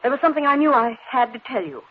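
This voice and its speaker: A woman's voice: high voice, big pitch swings, fast. An actress playing an anguished, earnest woman in love in a breathless, melodramatic 1940s radio style. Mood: anguished resolve. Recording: tinny, noisy 1940s radio recording.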